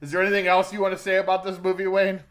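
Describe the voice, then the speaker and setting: Male podcast co-host, fairly high voice, casual and opinionated reviewer. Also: monotone, racing, a bit bright. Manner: conversational, informal, opinionated. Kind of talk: casual film review chat.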